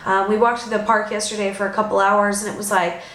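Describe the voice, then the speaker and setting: A woman's voice: medium pitch, flat delivery, fast talker, fairly bright tone. A young woman with a Californian accent chatting casually and informally in an improvised vlog, peppering her talk with "like" and little asides. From Los Angeles, United States. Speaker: vlogger. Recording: echoey room with some background noise.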